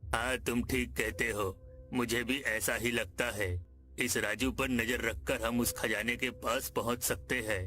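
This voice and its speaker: A man's voice: mid-range voice, steady tone, measured pace, bright, forceful tone. A man playing a menacing, greedy village bully in a cartoon story, with a threatening delivery. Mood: scheming. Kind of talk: Hindi cartoon story acting. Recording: music behind the voice.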